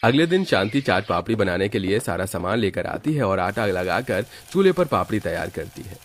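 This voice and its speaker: A man's voice: lowish voice, very animated, brisk. A man reading scripted narration for a cartoon moral story as its storyteller. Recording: background music under the voice.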